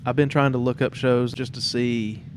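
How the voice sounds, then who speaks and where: A man's voice: medium pitch, level tone, moderate pace. A man hosting an informal, conversational interview. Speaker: podcast host. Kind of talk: casual, informal interview chat. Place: temporary studio in a theatre. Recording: background noise and room ambience.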